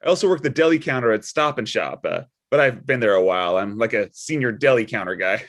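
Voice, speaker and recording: A man playing an awkward, earnest widowed father and church deacon in a comedy script read, speaking haltingly. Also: fairly low pitch, animated, a bit bright. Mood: sheepish. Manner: hesitant, halting.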